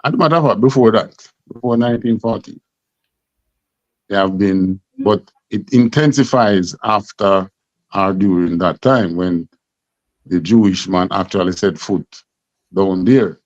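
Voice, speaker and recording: A man's voice: low voice, measured pace, pauses often, no echo. A man with a Jamaican accent teaching a scripture class, emphatic and full of rhetorical questions. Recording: dull lo-fi sound.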